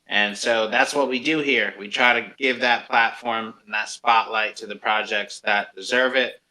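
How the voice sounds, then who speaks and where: A man's voice: lowish voice, steady tone, normal speed, pushed and bright, low-quality recording. A man hosting an interview show, introducing guests and putting questions to them. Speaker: radio show host.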